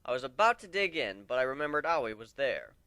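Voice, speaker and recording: A man's voice: medium pitch, big pitch swings, fairly quick, sharp tone, smooth, no echo. A man narrating a first-person story as a dramatic reading.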